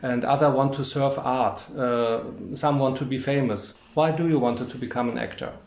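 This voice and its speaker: A man with a German accent conducting a conversational film interview, telling anecdotes in a rambling, halting way. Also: medium pitch, fairly even intonation, a little mellow. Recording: echoey room.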